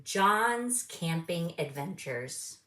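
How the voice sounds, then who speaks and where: Slow, echoey woman reading a children's story. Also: fairly low pitch, lively intonation, fairly bright tone. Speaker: story time host reading a children's book aloud. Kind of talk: children's read-aloud storytelling and friendly presenting to young viewers.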